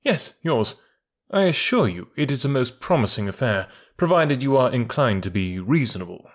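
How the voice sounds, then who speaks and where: A middle-aged ex-inspector who talks dry and hard, every word firm and exact, as a male narrator reads him. He sounds calm and sure of his grip. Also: mid-range voice, moderate pace. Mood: cool.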